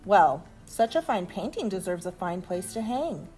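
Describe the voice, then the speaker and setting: A woman's voice: medium pitch, very animated, normal speed, warm tone, soft background music, voice pushed down, natural voice. A woman voicing a proud, insistent father pig in a storybook, hearty and emphatic. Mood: agreeable.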